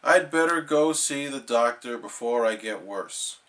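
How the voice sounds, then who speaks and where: A man voicing an excitable, sickly cartoon sea sponge in a dramatic, whiny, pleading manner. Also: mid-range voice, measured pace. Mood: anxious pleading.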